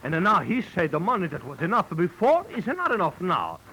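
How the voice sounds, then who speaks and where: An actor playing an excitable, doting Italian animal show owner with a theatrical manner and broken English, in 1940s radio style. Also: male voice, high-pitched, fairly quick, exaggerated voice. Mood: indignant. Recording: noisy old 1940s radio recording.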